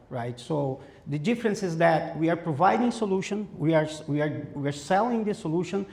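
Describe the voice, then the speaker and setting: A man from Brazil with a Brazilian accent, giving a conference presentation in a halting way with many fillers and restarts. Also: on the high side, vocal fry, a little mellow. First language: Portuguese. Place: conference room. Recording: echoey room.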